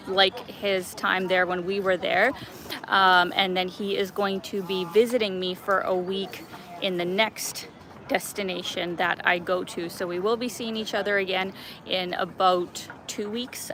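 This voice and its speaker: Young woman vlogging live outside, crisp bright voice, chatty and giggly, faint traffic hum behind. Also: fairly low pitch. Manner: chatty, laughs often, greets viewers by name. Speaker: travel vlogger hosting a live stream. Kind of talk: casual live-stream chat to viewers. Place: outdoors on a skywalk in a city park.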